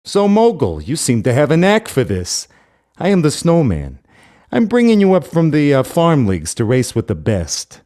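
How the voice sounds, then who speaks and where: An actor playing a shady, smug sponsor in a video game, a man who makes calm, measured threats. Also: on the high side. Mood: condescending. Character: shady male crime-boss sponsor, smug, calm and menacing. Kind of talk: video game character dialogue.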